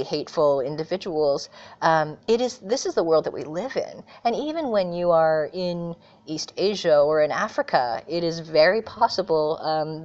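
Low-voiced American woman, thoughtful. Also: darkish tone. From California, USA. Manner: earnest, thoughtful, with frequent pauses and fillers. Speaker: guest. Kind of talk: reflective interview answers. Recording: dull lo-fi remote audio.

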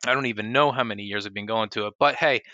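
A man's voice: on the low side, racing, pushed and bright, no echo, highs cut off. A man co-hosting a casual podcast, upbeat and friendly, with plenty of fillers. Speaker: podcast co-host.

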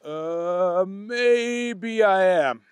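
A man's voice: high-pitched, expressive, a little slow, dry recording. A man voicing a dopey, greedy young man who loves candy and talks in a hesitant way. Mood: sheepish.

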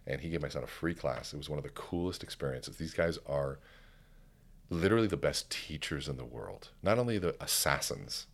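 A middle-aged man, a guest speaker in a casual podcast chat, talking in a deliberate, resonant voice from the diaphragm and telling stories. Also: very deep voice, expressive.

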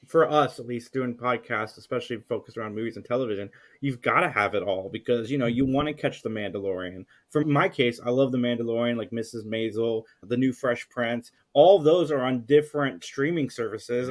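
Casual male podcaster with a middle-pitched voice. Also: brisk, few pauses. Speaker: podcast guest and co-host of a geek culture show. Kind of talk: casual storytelling chat.

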